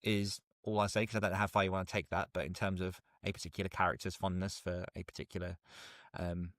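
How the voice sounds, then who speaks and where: Man, fairly low voice, very dry sound, chatty host, informal, jokey. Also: flat delivery, quick pace, fairly bright tone, no echo. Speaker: podcast host. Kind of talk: casual interview chat.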